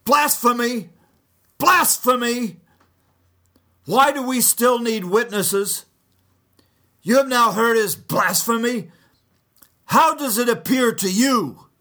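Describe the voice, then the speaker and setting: A man voicing an angry male high priest who accuses and shouts in a raised, strained voice. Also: sing-song, slow, creaky, pauses often, slightly rough, full character voice. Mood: outraged.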